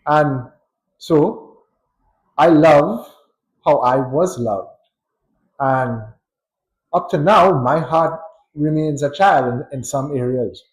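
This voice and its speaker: Slow, measured Trinidadian man. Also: on the high side, rough recording. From Trinidad and Tobago. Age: adult. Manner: measured, thoughtful, with pauses and light chuckles. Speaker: psychologist. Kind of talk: unscripted educational talk.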